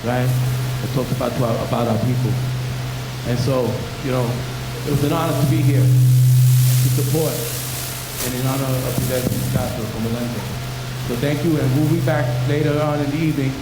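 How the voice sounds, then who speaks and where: A man's voice: medium pitch, fairly even intonation, moderate pace. A man, a performing musician, giving an informal thank-you speech to an audience at a live event. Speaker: musician in a cultural performance group. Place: large hall at a live event. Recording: very noisy, echoey live hall recording.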